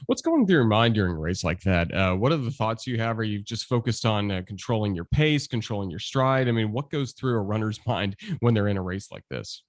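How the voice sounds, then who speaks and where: Fast-talking low-voiced host. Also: male voice, expressive, dry recording, clean studio sound. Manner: smooth, long scripted questions. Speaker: sports radio host. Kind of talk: radio interview.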